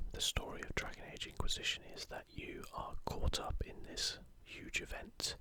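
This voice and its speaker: An ASMR host speaking entirely in an unhurried, gentle whisper, presenting to viewers. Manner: whispering throughout. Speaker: video host.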